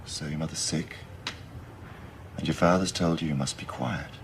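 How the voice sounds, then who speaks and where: A man playing a calm therapist who gently probes with questions. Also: very deep voice, normal speed, vocal fry, slight background noise. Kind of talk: stage drama acting.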